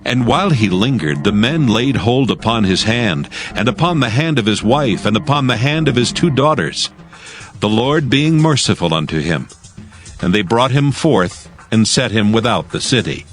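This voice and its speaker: A man's voice: medium pitch, sing-song, normal speed. A man narrating scripture in archaic English as a scripted dramatized audio reading. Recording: prominent background music under narration.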